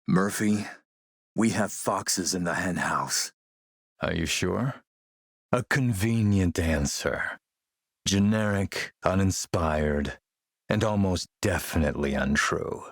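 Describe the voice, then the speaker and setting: Shrewd militia boss, very low gravelly voice, menacing, wry, self-assured, video game dialogue, close dry sound. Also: male voice, slow pace, no room sound, heavily acted. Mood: alert, then dismissive. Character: shrewd, menacing male militia boss, smooth-talking dealmaker.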